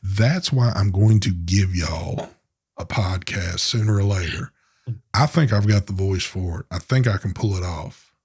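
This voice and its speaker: A man with a slightly low voice chats on a live stream. He rambles loosely from one idea to the next and cusses casually, sounding relaxed and unhurried. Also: breathy edge. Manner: laid-back, cussing, rambling. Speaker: co-host of a live vape show.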